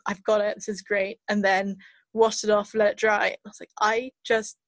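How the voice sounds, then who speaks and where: A woman in her twenties co-hosting a podcast, telling stories in a soft-spoken, earnest way. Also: mid-range voice, flat delivery, normal speed, no echo. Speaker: podcast co-host. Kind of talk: casual chat.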